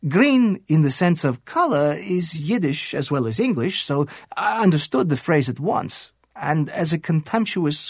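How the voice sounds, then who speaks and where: A man reading a novel passage aloud in a measured, literary way. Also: high voice, no room sound, studio quality. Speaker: reader.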